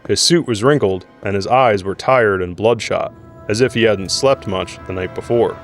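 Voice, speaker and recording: A man's voice: fairly low pitch, moderate pace, soft background music. A man narrating a scripted audio drama in the role of storyteller.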